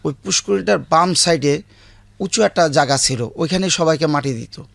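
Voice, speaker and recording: Male guest, 57, Bangladeshi accent, gravelly, slightly high voice, plainspoken personal storytelling. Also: normal speed, fairly bright tone, no echo. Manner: plain, colloquial, repetitive. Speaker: guest telling his life story.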